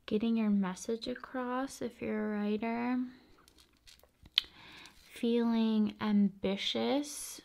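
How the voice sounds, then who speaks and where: A woman speaks very slowly in a soft ASMR style, with a strongly creaky edge to her voice. Her pitch sits in a middle range for a woman. She talks casually in short phrases with pauses, often dropping toward a whisper. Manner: unhurried, pausing between short phrases. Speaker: ASMR video host. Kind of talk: ASMR, casual spoken musings.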